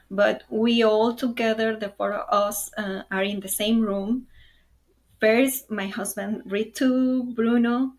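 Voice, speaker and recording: A woman from Mexico whose first language is Spanish, a parent guest talking about her family in simple, unhurried English. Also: mid-range voice. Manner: warm, simple phrasing in second-language English. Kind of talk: casual discussion.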